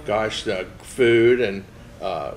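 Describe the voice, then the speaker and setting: A man hosting a casual interview, friendly, with many pauses and fillers as he asks his questions. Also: lowish voice.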